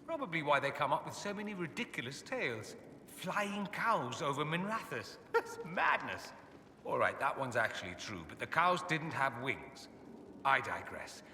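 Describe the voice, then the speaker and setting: Suave, bright-voiced aristocratic mage. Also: male voice, fairly high pitch, very animated, normal speed, full character voice. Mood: wry, amused. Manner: suave, theatrical, sardonic, articulate. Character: urbane, witty, self-assured foreign aristocrat mage, adult man. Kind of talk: video game dialogue acting. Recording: echoey hall with ambient noise.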